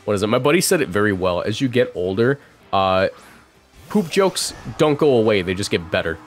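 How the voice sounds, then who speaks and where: A man in his twenties giving casual live game commentary, chatting and thinking out loud as he plays. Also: lowish voice, big pitch swings, brisk, soft background music. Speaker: streamer.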